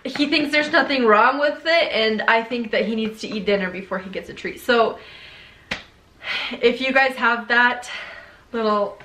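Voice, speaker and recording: A woman's voice: fairly high pitch, measured pace, sharp tone. A young woman from Ukraine chatting casually with her husband in a home vlog, laughing often. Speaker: vlogger. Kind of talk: casual couple Q&A chat. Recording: echoey room, faint noise.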